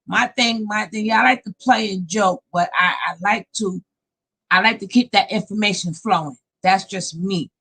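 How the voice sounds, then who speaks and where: A woman hosting a casual live panel stream over a video call, animated and laughing often. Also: mid-range voice, moderate pace, husky. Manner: animated, laughing, talks up a storm.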